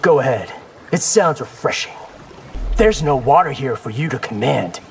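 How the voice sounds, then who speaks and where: A man's voice: medium pitch, expressive, moderate pace, creaky, slight background noise. A man playing a young ninja warrior in a fighting game, wry, cocky and casual. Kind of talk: fighting game character acting.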